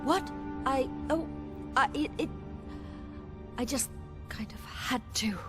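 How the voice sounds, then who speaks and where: A woman's voice: sits high, takes it slowly, music in the background. An actress playing a defiant adventurer woman drawn to power in a video game cutscene. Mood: flustered.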